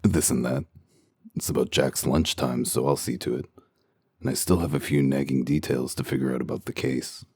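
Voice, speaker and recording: A man playing a gruff, cynical wolf detective in a comic dub, talking in a dry, sardonic, terse way. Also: rumbling low, steady tone, moderate pace, a little mellow, no echo, exaggerated voice. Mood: dry, matter-of-fact.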